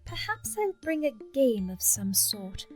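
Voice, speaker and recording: A woman voicing a graceful, cool, mature goddess who speaks in a poised, lofty, gently teasing way. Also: high-pitched, sing-song, a little slow, faint music behind. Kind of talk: fan audio drama acting.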